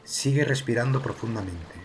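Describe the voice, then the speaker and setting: A man narrating a guided meditation as its guide, speaking calmly and soothingly in slow phrases with pauses. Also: medium pitch, faint music behind, poor audio. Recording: ocean waves behind the voice. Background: sea waves, water ambience.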